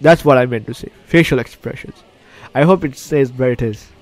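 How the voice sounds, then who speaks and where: A man's voice: mid-range voice, fairly quick, a little mellow. A man hosting a game playthrough, reading the story aloud with reactions, often stumbling and correcting his words. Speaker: gaming video host. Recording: game music behind the voice. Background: game music.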